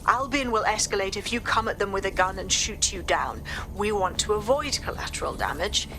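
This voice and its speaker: A woman's voice: lively intonation, fairly quick, sharp tone, low-quality recording. A woman playing a tough, practical resistance mentor in a video game, giving matter-of-fact instruction. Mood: firm. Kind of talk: scripted video game voice acting. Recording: clear background noise, low rumble.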